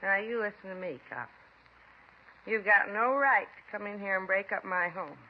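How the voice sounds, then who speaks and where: A bitter, neglectful mother, played by a woman, shrill and accusing as she lashes out, then slipping into whining self-pity. Her voice sits in an ordinary middle range. It comes through a dull, muffled old radio broadcast. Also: normal speed, natural voice. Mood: defiant. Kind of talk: 1950s radio drama acting.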